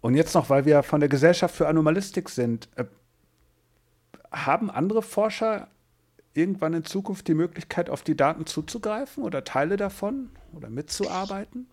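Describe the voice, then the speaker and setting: A man's voice: fairly high pitch, expressive, normal speed, darkish tone. A man hosting an interview podcast, talking casually and kindly, with ums and small fillers. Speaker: podcast host.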